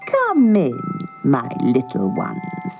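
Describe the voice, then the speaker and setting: Shrill old storybook witch, sly, wheedling, falsely kind, swooping pitch, music behind. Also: wildly swooping pitch, takes it slowly, darkish tone, muffled recording, exaggerated voice. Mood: falsely sweet.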